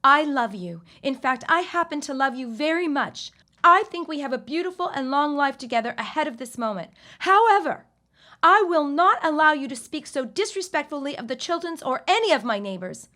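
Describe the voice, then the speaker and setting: A woman's voice: high-pitched, lively intonation, normal speed, pushed and bright. An actress playing a strong-willed, well-to-do 1910s lady with formal, firm phrasing in an audio drama. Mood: firm, indignant. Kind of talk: period audio drama acting.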